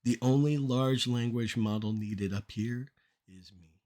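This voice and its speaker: A middle-aged man performing rhymed spoken-word poetry in a measured, deliberate delivery with short phrases. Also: mid-range voice, warm tone.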